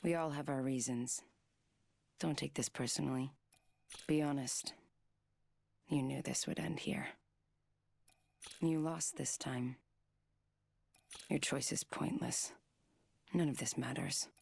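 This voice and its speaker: A woman voicing a cool, stealthy, menacing fighter in a video game, in measured, taunting lines. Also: extremely low pitch, steady tone, gravelly, a little mellow, exaggerated voice. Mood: calm menacing.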